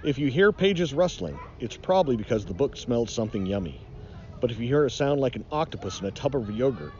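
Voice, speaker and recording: Man, middle-aged, storybook narration, theatrical, mock-ominous, very expressive intonation, faint outdoor noise. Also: mid-range voice, muffled recording. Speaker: nature educator reading aloud. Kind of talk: dramatic children's storybook reading.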